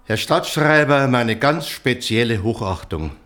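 An actor playing a jovial small-town innkeeper, chummy and teasing, in naturalistic drama dialogue. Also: male voice, medium pitch, animated, a little slow, over loud music, natural voice. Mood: hearty. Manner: chummy, bantering, hearty.

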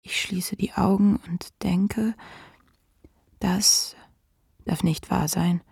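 An actress playing the despairing, drained inner voice of a suicidal person in a radio drama, whispering in a hushed, fragile, intimate way. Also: female voice, fairly low pitch, fairly even intonation, drawn-out words, no echo, clean studio sound, full character voice. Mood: numb, drained. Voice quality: breathy, whispered.

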